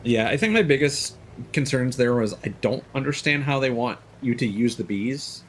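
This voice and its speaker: A man's voice: medium pitch, animated, normal speed, slight background noise. A man streaming a video game, reacting casually and swearing now and then, with many fillers and restarts. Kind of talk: live gameplay commentary.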